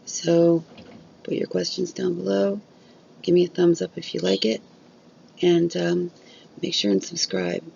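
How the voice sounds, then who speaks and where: A woman's voice: on the low side, unhurried, creaky, long pauses, a little mellow, highs cut off, a little hiss or hum. A woman vlogging candidly about chronic illness, blunt and open, swearing freely while losing her voice. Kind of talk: candid casual vlog talk.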